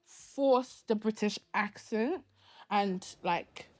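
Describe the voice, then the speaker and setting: A woman from Nigeria whose first language is Yoruba talks in a documentary interview. Her voice is mid-range for a woman. She tells her stories expressively, with her pitch rising when she recalls things. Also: very slow. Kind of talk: interview storytelling.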